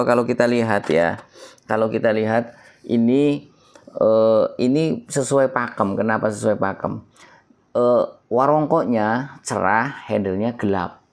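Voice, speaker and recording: A man's voice: mid-range voice, darkish tone. Man with a stuffy, nasal voice. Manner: measured, prayerful openings with drawn-out pauses and fillers. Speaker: keris collector and channel host. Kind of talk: slow devotional-toned explanation to camera.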